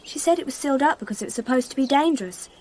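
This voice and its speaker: A woman's voice: high voice, expressive, very fast, a little mellow, a little hiss or hum. An actress playing a polite, curious, dreamy young girl in a radio drama, artless and light. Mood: playful.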